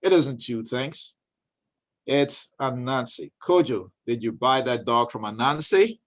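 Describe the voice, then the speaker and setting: A dramatic village mother played by a man, wary of tricksters and quick to scold. She speaks with storybook exasperation, stretching her exclamations. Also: medium pitch, lively intonation, dry recording. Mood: stern, wary.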